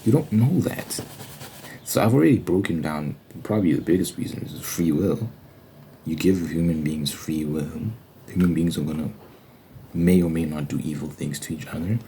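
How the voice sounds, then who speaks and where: A man speaks in a very deep, soft-edged, mellow voice. He delivers an informal ASMR monologue, pressing his argument with emphatic rhetorical questions. A faint noise sits behind the voice. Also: low-quality recording. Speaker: host of an ASMR Bible study channel.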